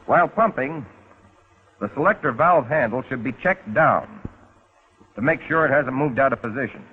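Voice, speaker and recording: A man's voice: medium pitch, expressive, moderate pace, breathy edge. Tinny 1940s American training-film narrator, male. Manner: instructional with folksy, wry asides. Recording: muffled, phone-like 1940s film soundtrack.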